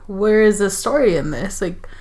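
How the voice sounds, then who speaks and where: A woman's voice: medium pitch, animated, normal speed, a little mellow. A young adult woman from the Mexico border area, chatting casually about a film with frequent likes and restarts. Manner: hesitant, many likes and restarts. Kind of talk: casual film review chat.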